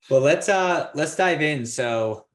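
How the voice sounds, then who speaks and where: A man hosting a podcast interview who talks in a halting way, with many filler words and easy laughs. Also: fairly high pitch. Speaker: podcast host. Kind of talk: casual interview.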